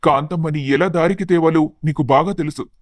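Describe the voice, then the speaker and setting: A man playing a mild, appreciative older father-in-law in an animated family story, speaking in an approving, measured way. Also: on the high side, no echo, clean studio sound, natural voice. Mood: grateful, approving.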